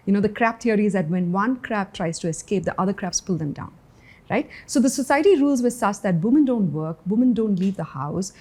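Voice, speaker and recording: A woman's voice: mid-range voice, mellow. A woman guest in an interview, telling her life story in an earnest, reflective way, often saying "you know". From India. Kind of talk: candid personal interview.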